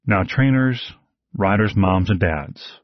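A man's voice: on the low side, takes it slowly, no echo. A man hosting a podcast, reading a scripted monologue of frank advice with wry asides. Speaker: podcast host, horse show announcer. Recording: dull lo-fi dry recording.

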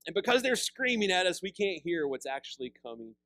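Animated preaching man, dry sound. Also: sits high, brisk, no echo. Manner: animated preaching with big hand gestures, rising loud on emphasis; repeats words when restarting, conversational. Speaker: pastor. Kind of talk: sermon. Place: church fellowship hall, seated on a stool. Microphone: handheld mic.

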